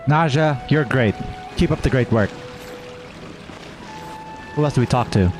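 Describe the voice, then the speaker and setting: A man's voice: medium pitch, unhurried, music in the background. A man streaming a game with casual, playful live reaction commentary, chatting to his viewers. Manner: playful, chatty, talks to chat.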